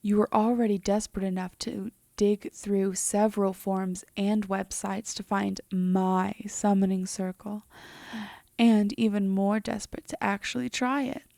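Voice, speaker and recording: A woman playing a composed, teasing female demon in an intimate roleplay audio drama, often slipping into a breathy half-whisper. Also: medium pitch, flat delivery, unhurried, mellow, dry recording, clean studio sound. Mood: calm, menacing, teasing.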